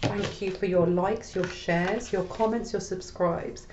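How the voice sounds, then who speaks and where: A woman's voice: fairly low pitch, fairly even intonation, a little slow. A woman with a slight cold, crackly voice, giving a collective tarot reading, often checking in with "okay?". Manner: soothing, repeats "okay?" often. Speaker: tarot reader. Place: small room at a table. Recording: roomy, slightly dull home recording.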